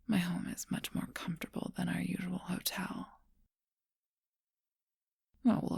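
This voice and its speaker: A seductive, ageless vampire voiced by a woman murmurs in a low, gravelly whisper close to the microphone. Her breath-heavy voice barely rises or falls, keeping a slow, teasing, possessive intimacy, like a storybook creature in an ASMR role-play. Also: a little mellow, no room sound, exaggerated voice.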